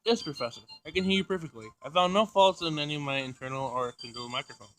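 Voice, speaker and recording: Man as literal-minded robot, formal, precise, matter-of-fact, higher-pitched bright voice, visual novel game. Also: fast, faint music behind, close to an everyday voice, poor audio. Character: polite, literal-minded self-aware robot assistant. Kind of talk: visual novel character reading.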